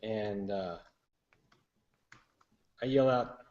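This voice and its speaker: A man's voice: lowish voice, extremely slow, a little mellow. A man talking casually as a player in a tabletop roleplaying game. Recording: echoey, dull room recording.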